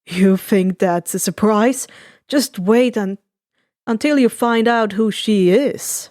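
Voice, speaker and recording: A woman's voice: mid-range voice, slow pace, a little mellow, no echo, studio quality, close to an everyday voice. A woman voicing a wry, knowing male underworld broker in a story reading.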